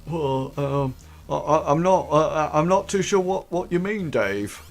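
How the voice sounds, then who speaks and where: A man's voice: a little high, unhurried, gravelly, faint music behind. A man voicing a nervous, eager young male recruit who stammers constantly. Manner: stammering, hesitant.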